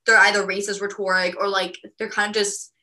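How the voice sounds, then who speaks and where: A young woman from Venezuela, a podcast co-host, telling her own story in a casual, animated way full of "like" fillers. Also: medium pitch. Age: young adult. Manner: fast, filler-heavy, animated with frequent "like".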